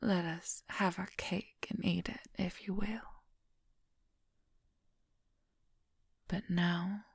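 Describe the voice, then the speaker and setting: A woman acts out a possessive young werewolf woman in an ASMR role-play. She whispers very slowly and intimately, with a husky, breathy voice that crackles with heavy fry. The sound is close and dry. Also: on the low side, little pitch movement, dry recording, exaggerated voice. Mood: soft, discontented.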